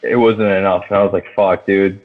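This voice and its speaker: A young man co-hosting a podcast, chatting casually and excitably about a film in slangy, sweary speech. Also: low-pitched, a little fast. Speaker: podcast co-host. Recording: thin, phone-like band-limited audio.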